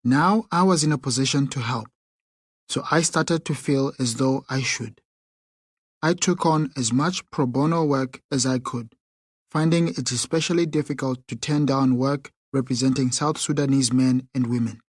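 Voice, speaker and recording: A man reading a first-person memoir aloud as an audiobook narrator, in a measured, unhurried way. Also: mid-range voice, husky, dry recording, clean studio sound.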